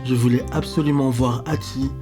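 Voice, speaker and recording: A man in his thirties from Belgium, calmly narrating a scripted travel voice-over. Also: medium pitch. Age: 30s. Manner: calm, measured storytelling. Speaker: vlogger. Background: music bed.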